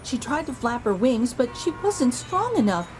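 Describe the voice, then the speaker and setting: A woman reading a children's picture book aloud in an expressive storytelling manner. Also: poor audio. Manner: slow, expressive storytelling with lively pitch.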